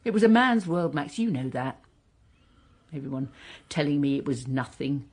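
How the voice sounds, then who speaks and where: A woman's voice: deep voice, animated, darkish tone. An actress playing a bitter, defiant woman wronged years ago, in a naturalistic radio drama. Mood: wounded, angry. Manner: impassioned, halting when hurt.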